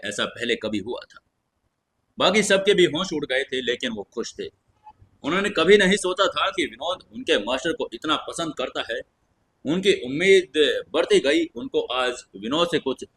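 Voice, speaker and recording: A man narrating a scripted audio story in a dramatic, expressive, suspenseful way. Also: mid-range voice, breathy edge.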